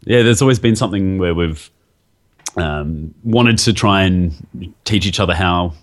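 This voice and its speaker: A man's voice: sits low, measured pace. A man interviewed as a guest artist, talking casually and hesitantly, with many fillers and restarts.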